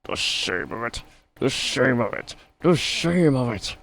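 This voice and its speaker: Brief: grumpy self-important big engine, voice pushed well above a man's own low register, gravelly. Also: lively intonation, unhurried, fairly bright tone. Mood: grumbling. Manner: sulky grumbling, repeats himself. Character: big proud grumbling male steam engine.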